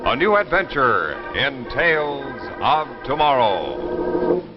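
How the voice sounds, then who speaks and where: A man's voice: medium pitch, very animated, slow. A man announcing in a scripted 1950s television style. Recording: muffled 1950s broadcast with organ music. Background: organ music.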